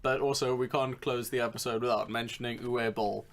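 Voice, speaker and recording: A man's voice: medium pitch, steady tone, fairly quick. A man co-hosting a podcast, chatting casually in a joking, sardonic way with some swearing. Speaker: podcast co-host. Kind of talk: casual chat.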